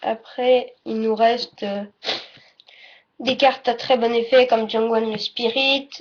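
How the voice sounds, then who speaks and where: A woman giving a casual, unscripted tutorial as presenter, halting and often correcting herself or trailing off mid-sentence. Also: fairly high pitch, steady tone. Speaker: presenter of a card game tutorial. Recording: dull lo-fi audio, faint noise.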